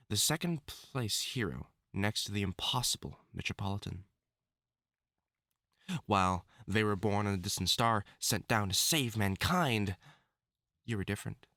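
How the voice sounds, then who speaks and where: A man's voice: lowish voice, sharp tone, no echo, clean studio sound, heavily acted. A man acting a swooping theatrical lovesick supervillain. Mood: teasing, menacing. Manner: theatrical, taunting, dramatic swoops and pauses. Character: theatrical male wolf-mutant supervillain, lovesick, teasing, wounded. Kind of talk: scripted audio roleplay acting addressed to the listener.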